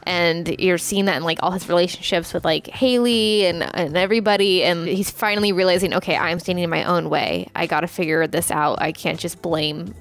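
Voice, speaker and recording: A woman's voice: fairly low pitch, a little fast, hardly any pauses. A woman in casual reaction chat, talking informally and explaining her take in a conversational way. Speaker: reaction show host.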